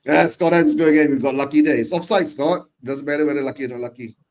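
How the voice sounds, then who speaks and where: A man's voice: on the high side, fast talker, darkish tone. A man from Malaysia hosting a football watch-along, reacting to the match in short, repeated replies. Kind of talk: casual chat with live match reactions.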